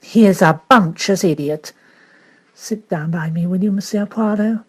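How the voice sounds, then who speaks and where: A man's voice: sits high, measured pace, slightly rough, voice pushed up. A man voicing a forthright, stubborn elderly English lady who speaks emphatically. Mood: insistent. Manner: emphatic, insistent.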